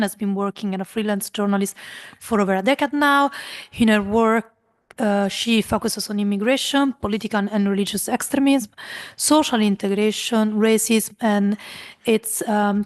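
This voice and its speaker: A woman's voice: mid-range voice, a little mellow. A woman moderator thanking one speaker and formally introducing the next at a conference. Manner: formal, reading out a speaker's bio.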